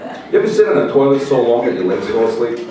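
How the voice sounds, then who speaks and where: A man's voice: mid-range voice, very fast, heavy background noise. A man raised in Queens doing a brash, profane stand-up set at a comedy club, working the crowd between bits. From Russia, raised in Queens, New York. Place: comedy club, live audience. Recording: echoey club, distant mic, loud laughing crowd.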